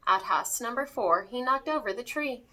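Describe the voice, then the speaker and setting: Very animated, bright-voiced woman storyteller. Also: fairly high pitch, normal speed, a little hiss or hum. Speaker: read-aloud storyteller. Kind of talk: expressive rhyming children's story reading.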